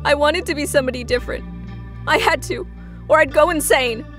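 A woman's voice: high-pitched, a little fast, close to an everyday voice. A young woman voicing an intense, insistent young woman with wounded pride in a dramatic comic dub. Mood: bitter, anguished. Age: young adult. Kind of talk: dramatic dialogue. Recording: music behind the voice.

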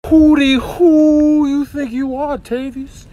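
A man voicing a cocky, street-tough animal bully in a comic voiceover, with drawn-out, slangy taunts. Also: very high voice, little pitch movement, mellow, rough recording. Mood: taunting, menacing.

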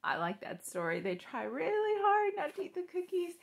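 A woman's voice: extremely high pitch, sing-song, moderate pace, creaky. A young adult woman reading a children's story aloud, with simple explanatory asides to young listeners. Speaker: storytime reader. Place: small living room, on a couch indoors.

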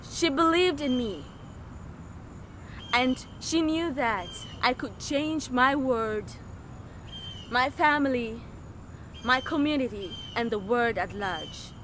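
A young woman with a Tanzanian accent speaks in a high, forceful voice. She delivers her words slowly and theatrically, like a prepared spoken-word piece. There is faint outdoor noise around her. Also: expressive. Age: young adult. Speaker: non-profit founder and director. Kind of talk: spoken-word performance and scripted personal statement. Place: outdoors in a leafy park.

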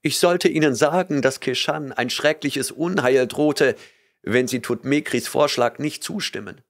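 A trembling, terrified young woman pleads and whimpers in a breathless rush, as a male narrator puts her on. Her voice is pitched well above his own, thin with fear. It comes from a storybook reading. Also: unhurried, close to an everyday voice. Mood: fearful.

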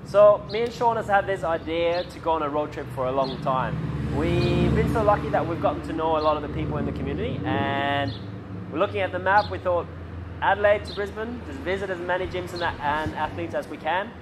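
A man's voice: a little high, a little fast. Chatty man in his thirties, vlogging. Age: 30s. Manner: chatty, relaxed, many fillers. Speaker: vlog host. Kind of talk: casual video diary. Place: outdoors before a red roller door. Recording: noisy street background.

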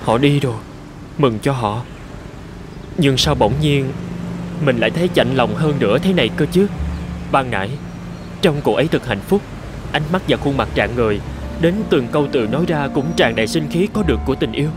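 A man playing a gloomy, self-pitying traffic light that longs for freedom, complaining plaintively in an animated fairy tale. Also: medium pitch, moderate pace, long pauses, lightly acted. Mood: wistful, self-pitying. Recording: music under the voice.

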